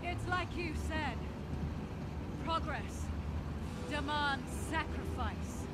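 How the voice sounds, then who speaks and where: A woman's voice: high voice, extremely slow, very noisy. An actress playing a gutsy, wisecracking woman adventurer in a video game, exclaiming with breathless effort. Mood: defiant. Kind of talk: video game action acting. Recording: loud music over the voice.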